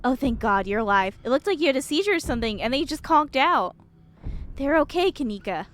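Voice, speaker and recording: Woman playing an anxious, caring high-voiced young companion. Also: very animated, a little fast, a bit bright. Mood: worried.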